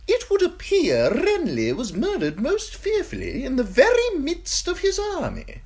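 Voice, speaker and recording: A woman voicing a sly, simpering male eunuch courtier with a high, tittering voice, in an audiobook. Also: huge pitch swings, a little slow, a little mellow, heavily acted. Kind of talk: audiobook character voice.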